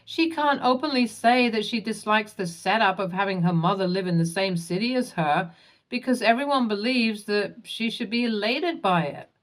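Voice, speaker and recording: A woman reading out online relationship stories and comments in a steady, even narration. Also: mid-range voice. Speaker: story narrator.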